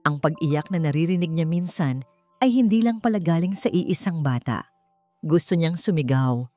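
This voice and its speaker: Female audiobook narrator: lowish, soft dark tone, measured suspense, faint music bed. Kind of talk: scripted dramatic story narration.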